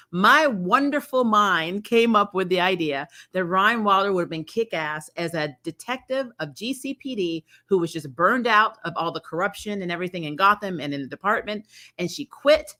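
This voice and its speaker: Female reviewer, lowish forceful voice, casual and emphatic, unfiltered opinions with the odd curse. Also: lively intonation, normal speed. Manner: blunt, mildly sweary. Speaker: host of a fan review channel. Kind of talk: casual opinionated trailer commentary.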